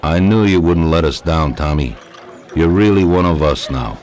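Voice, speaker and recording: Very deep-voiced, measured crime boss. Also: male voice, a little mellow, a faint crowd. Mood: pleased, assured. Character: calm, authoritative male crime boss rewarding his man. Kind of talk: video game cutscene acting.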